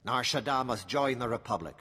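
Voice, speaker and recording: Solemn idealistic resistance man in a game, husky lowish voice, bit of hall echo. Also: bright, forceful tone. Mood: solemn resolve. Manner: earnest, measured, solemn. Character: solemn, grateful male freedom fighter with high ideals. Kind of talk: video game voice acting.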